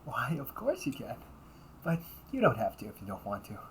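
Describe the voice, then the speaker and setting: Man playing an animated, polite butler cafe waiter. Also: medium pitch, normal speed, slight background noise. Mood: courteous, formal. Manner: formal, courteous service talk with muttered asides. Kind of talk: amateur audio roleplay acting.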